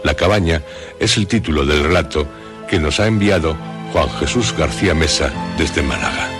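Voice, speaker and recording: A man with a Castilian Spanish accent presenting a horror radio show in a solemn, suspenseful scripted narration with dramatic pauses. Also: a bass voice. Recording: loud music under the voice. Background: background music.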